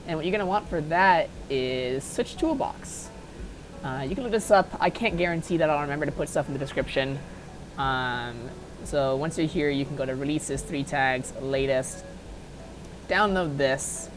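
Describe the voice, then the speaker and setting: A woman's voice: low voice, rough recording. A woman giving an informal, unscripted tutorial, talking offhandedly and thinking aloud as she goes. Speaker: tutorial host. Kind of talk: casual unscripted software modding tutorial.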